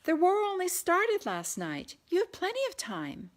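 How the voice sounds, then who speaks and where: A headstrong young Englishwoman, as a woman novelist reads them. She argues earnestly and insistently, pitched above an everyday speaking voice, in a historical audiobook. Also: huge pitch swings, a little slow, exaggerated voice. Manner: insistent, argumentative.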